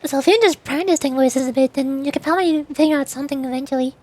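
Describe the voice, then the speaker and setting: High-voiced rambling woman streamer. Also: fast talker, darkish tone, no room sound. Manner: rambling, self-correcting, repeats phrases. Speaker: streamer and amateur voice actor. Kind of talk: casual livestream chat.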